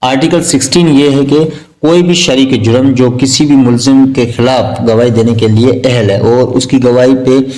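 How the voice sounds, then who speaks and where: A Pakistani man teaches like a law lecturer preparing students for an exam. His voice is soft-edged and mellow at an ordinary male pitch. He explains haltingly, circling back to repeat each point and illustrating it with simple examples. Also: fairly even intonation, rough recording.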